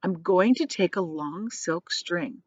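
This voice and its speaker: A woman voicing a calm, determined young boy in a children's storybook, explaining things earnestly and confidently. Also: on the low side, slow, husky, no echo, muffled recording. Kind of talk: children's storybook character reading.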